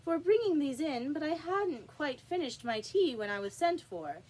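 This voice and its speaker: A woman's voice: sits high, big pitch swings, moderate pace, darkish tone, pitched above the performer's own voice, poor audio. A woman voicing a nervous, apologetic male hatter, a humble witness in a storybook.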